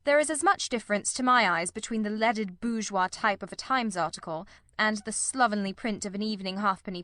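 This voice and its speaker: A confident, analytical detective, voiced by a woman narrator lays out deductions in a precise, lecturing manner. The audiobook sound is dry and close, the pitch in the middle range for a woman. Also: bright, forceful tone, dry recording. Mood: assured, lecturing. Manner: didactic, precise. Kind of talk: audiobook character reading.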